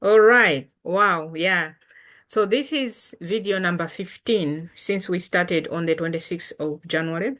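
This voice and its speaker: Slow, unhurried woman from Malawi teaching. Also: on the low side, a bit bright. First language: Chitumbuka. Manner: unhurried, patient, repeats and spells out words. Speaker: language teacher and channel host. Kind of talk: casual language lesson to camera.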